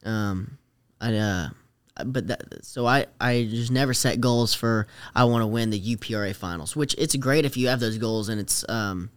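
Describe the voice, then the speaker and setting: A man's voice: on the low side, moderate pace, clear, resonant tone. A young man chatting casually as a podcast guest, talking in a hesitant, rambling, slangy way. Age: late teens to young adult. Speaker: rodeo rider, podcast guest.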